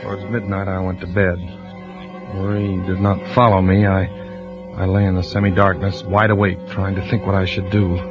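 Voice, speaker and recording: An actor playing a suspicious husband who also narrates the tale in a measured, brooding voice, in a 1940s radio drama. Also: male voice, deep voice, level tone, natural voice. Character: suspicious husband, grim first-person narrator. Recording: lo-fi old radio broadcast with music.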